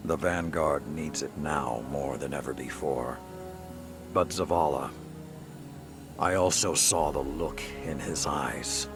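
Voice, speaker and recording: A very low, creaky-voiced old warrior speaks with solemn, stoic weight. He is a grave game mentor who measures every phrase slowly, with clear music underneath. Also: exaggerated voice. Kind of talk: dramatic video game cutscene acting.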